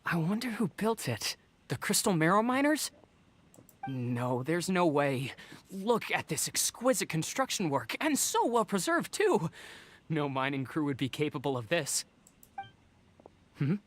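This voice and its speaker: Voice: mid-range voice, sing-song, measured pace, slight background noise. An actor voicing a kind, loyal young male warrior who stammers with concern.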